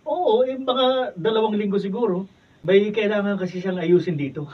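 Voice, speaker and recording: An actor playing a meek, good-natured tenant, an anxious peacemaker who placates and stammers, in a Filipino radio drama. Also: male voice, sits high, normal speed, slightly rough. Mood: protesting. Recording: distant mic, dull recording, faint noise.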